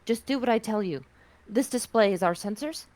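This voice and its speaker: A woman's voice: on the high side, animated, moderate pace, darkish tone, natural voice. A woman playing a bold, skeptical, commanding female rebel fighter in an amateur sci-fi table read. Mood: confident, curt.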